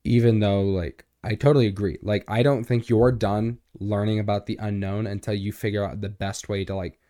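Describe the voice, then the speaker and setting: A young man co-hosting a podcast in casual chat, joking and informal, with a boomy voice close to the microphone. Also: level tone, normal speed, dark tone, dry recording. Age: young adult. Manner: joking, informal, self-interrupting. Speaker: podcast co-host.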